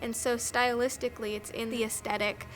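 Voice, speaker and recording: A high-pitched woman gives casual, conversational commentary with an enthusiastic manner. Faint music plays behind her as she talks in an interview style. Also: flat delivery, brisk. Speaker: documentary interviewee, filmmaker.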